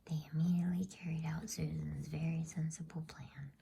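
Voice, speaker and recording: A woman whispers a bedtime story in ASMR style. Her breathy voice is low and slow, with a gravelly fry underneath. She keeps it soft and soothing over a faint background noise. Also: fairly even intonation.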